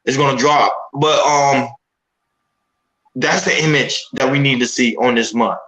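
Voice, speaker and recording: A man's voice: medium pitch, measured pace, fairly bright tone, echoey room, rough recording. A man of Haitian descent on a casual podcast panel, speaking emphatically and often repeating himself as he builds a point.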